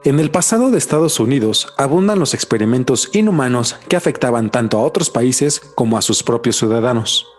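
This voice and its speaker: A man's voice: mid-range voice, animated, a little fast, hardly any pauses, faint music behind. A man hosting a podcast, moving between scripted presenting and casual chat. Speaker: podcast host.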